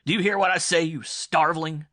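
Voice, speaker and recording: A man voicing a surly, hot-tempered farmer in a horror audiobook, gruff and contemptuous. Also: fairly high pitch, steady tone, brisk, a bit bright, pitched above the performer's own voice, natural voice. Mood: angry, threatening. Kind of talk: audiobook horror story acting.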